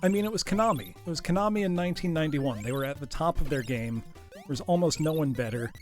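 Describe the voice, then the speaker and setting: Casting: male game streamer, slightly higher voice, congested and tired, chatty with a teacher-like jokey manner, game music faint behind. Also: lively intonation, fast talker. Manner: chatty, jokey, calls viewers "class". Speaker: streamer playing retro games. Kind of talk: casual live-stream chat.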